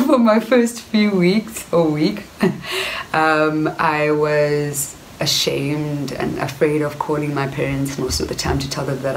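A woman's voice: sits low, slight background noise, low-quality recording. A young South African woman with a South African accent, recording herself indoors and telling her story in a reflective, casual way, often saying "you know". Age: young adult. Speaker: actress. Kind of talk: casual personal storytelling to camera. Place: indoor room before wooden cupboards. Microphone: camera at close range.

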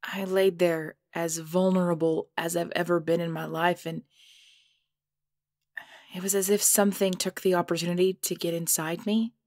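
A proud and menacing cursed creature played by a woman in an audio drama. Her voice sits low, and she tells her tale formally, with composed, deliberate weight. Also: little pitch movement, a little mellow, no echo, clean studio sound, close to an everyday voice. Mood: brooding, intense. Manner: formal, measured, deliberate storytelling delivery.

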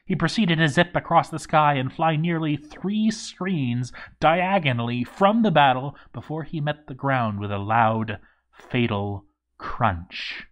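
A man reading a game story aloud as dramatic narration, with theatrical emphasis and deliberate pauses. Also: a little high.

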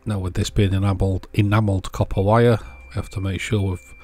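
A man narrating a hands-on electronics demonstration as host, explaining step by step in a measured way. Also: low voice, level tone, dark tone, faint music behind. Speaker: host of an electronics repair channel.

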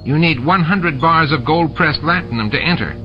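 A man voicing a scheming, greedy male alien bar owner, raising his voice high with indignant, wheedling protests. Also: normal speed, natural voice. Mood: protesting. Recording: dull lo-fi with music behind.

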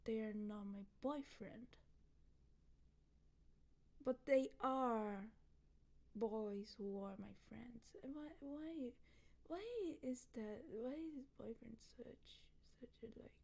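A woman acts out a drunk, happy girlfriend in an audio roleplay. She drawls very slowly in a soft, fairly high, mellow voice that often slips toward a whisper. Her talk is goofy and lovestruck, full of halting repeats. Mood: happy, muddled. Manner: rambling, halting, repeating words, giggly. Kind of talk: ASMR roleplay, voicemail monologue.

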